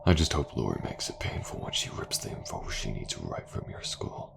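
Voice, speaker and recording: A man's voice: very deep voice, very animated, moderate pace, exaggerated voice. A man playing a vengeful, tormented man who threatens his enemy with seething menace in an audio drama. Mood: seething, threatening. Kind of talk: dramatic audio roleplay acting. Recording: clearly noisy background ambience.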